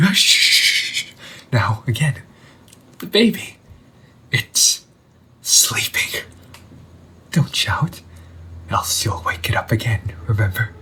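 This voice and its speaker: A man playing an undead older brother returned from the sea, talking in a hushed, halting, teasing way in an audio roleplay. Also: mid-range voice, very animated, breathy edge, full character voice. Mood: hushed, playful menace. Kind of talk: audio roleplay monologue to the listener.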